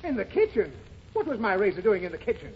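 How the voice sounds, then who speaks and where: A man's voice: high-pitched, wildly swooping pitch, fast. A man playing an exasperated, easily flustered father in an old radio comedy, explaining things fussily. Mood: scolding. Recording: muffled, very noisy old radio recording.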